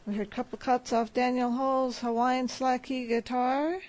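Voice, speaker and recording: A woman radio host back-announcing music and reading a sponsor message in a relaxed, steady way from a studio. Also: high voice, moderate pace. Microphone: studio microphone.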